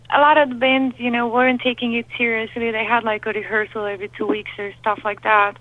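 A woman's voice: on the high side, no room sound. A young woman with a Romanian accent comes through a thin, tinny phone line. She tells her story candidly and with animation, with lots of fillers. The line sounds dry, with no room around her. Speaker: lead singer and guest. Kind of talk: phone interview. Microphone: telephone.

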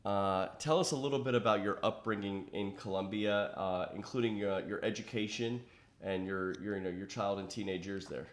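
Rambling middle-aged podcast host. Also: male voice, on the low side, normal speed. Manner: rambling, with many uh fillers and restarts. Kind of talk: conversational podcast interview.

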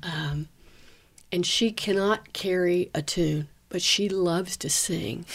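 A woman's voice: fairly low pitch, slow pace, breathy edge. A woman singer talking in a reflective, earnest way during a casual chat.

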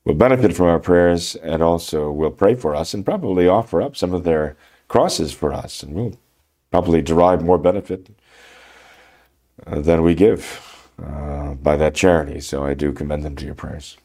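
An older man, a priest with a mild head cold, speaking slowly in a gravelly voice with many pauses and fillers. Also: extremely low pitch, dark tone. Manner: slow, halting, many fillers. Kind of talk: unscripted radio talk.

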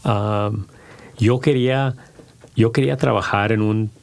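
A middle-aged man, a podcast guest who talks in halting bursts with many restarts and slips into English. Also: on the low side, steady tone, vocal fry. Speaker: podcast guest, company founder. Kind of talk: casual bilingual interview.

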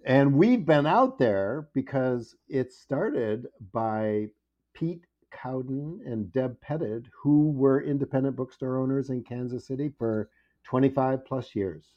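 A man's voice: medium pitch, lively intonation, slow pace, dull audio. A man chatting casually and playfully as a podcast guest, with a joking manner. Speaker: podcast guest, children's book author. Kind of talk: casual chat.

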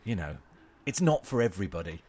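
A man's voice: low-pitched, very animated, fairly quick, warm tone, slightly rough. A man co-hosting a podcast in casual comic chat, with a joking, self-mocking manner. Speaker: podcast co-host.

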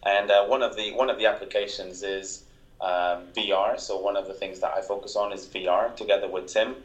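A man with a Portuguese accent, a software engineer joining a podcast over a call, talking casually with many hesitations. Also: fairly low pitch, steady tone, fairly quick, echoey room, muffled recording. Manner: hesitant, lots of uh and um, self-deprecating.

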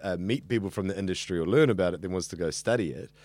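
A man in his thirties with a deep voice and a New Zealand accent, chatting casually and talkatively in an interview. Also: fast, a little mellow, dry recording. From Auckland, New Zealand. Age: 30s. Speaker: radio student being interviewed.

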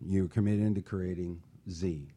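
Male host-coach, low warm voice, encouraging and earnest. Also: fairly even intonation, a little slow. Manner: earnest, encouraging, uses examples. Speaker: life coach and show host. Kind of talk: motivational talk-show chat.

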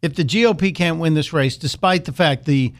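A man's voice: on the high side, fairly quick. A man hosting a talk radio show, delivering an opinionated monologue with sarcastic, mocking asides.

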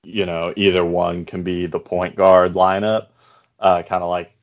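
A man hosting a casual basketball podcast, his voice hoarse and worn, talking in a hesitant, low-key way with many pauses and restarts. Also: level tone. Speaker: basketball draft podcast host; coach. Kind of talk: casual sports analysis chat. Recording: slightly dull lo-fi audio.

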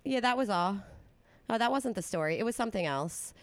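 A woman hosting a casual comedy podcast with a loud voice, often half-yelling and laughing as she talks. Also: medium pitch, animated, fairly quick, no echo. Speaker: podcast host.

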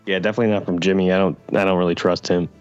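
Very deep, congested deadpan man. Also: racing, smooth, highs cut off, soft background music. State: congested with a cold. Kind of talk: improvised comedy banter.

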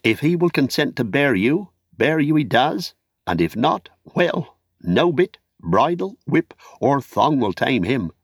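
Man voicing an eager, courteous young hobbit, lifted pitch. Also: sing-song, a little slow, husky, no room sound, studio quality, full character voice. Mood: proud, fond. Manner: polite, formal, old-fashioned phrasing. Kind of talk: audiobook character acting.

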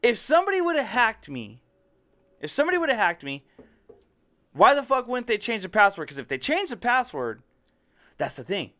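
Casual podcast co-host, male, high and clear voice, swears often, acts out conversations. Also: moderate pace, pauses often. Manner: animated storyteller, swears freely, acts out both sides of a dialogue. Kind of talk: casual chat.

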